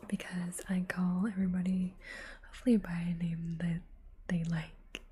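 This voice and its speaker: A woman hosting an ASMR livestream, chatting softly to viewers in a breathy whisper with unhurried asides. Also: lowish voice, little pitch movement. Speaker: livestream host, ASMR artist.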